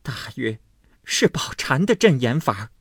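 A reader voicing a spiteful, scheming young wife who sneers and wails accusations in a storybook reading. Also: female voice, rumbling low, very animated, unhurried, breathy edge, dry recording, clean studio sound, voice pushed up, full character voice. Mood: scornful shrill.

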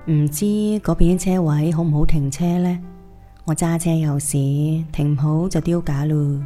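Cantonese radio drama: modest, weary former class monitor played by a woman, warm dark tone, slightly low pitch. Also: fairly even intonation, normal speed, soft background music. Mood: sociable.

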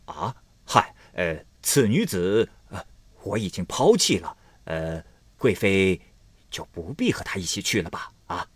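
A man's voice: low voice, very animated, measured pace, breathy edge, no room sound, full character voice. A man voicing a flustered middle-aged emperor who hems and stammers evasively. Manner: hesitant, stammering with fillers.

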